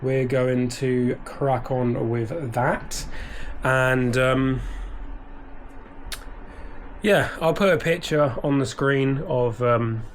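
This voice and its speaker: A man's voice: medium pitch, fairly even intonation, drawn-out words, long pauses, slight background noise. A British man talking casually to camera from his office, a little stuffy and bunged up, rambling with many ums and restarts. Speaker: eBay reseller vlogger. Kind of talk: casual vlog talk to camera. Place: small home office.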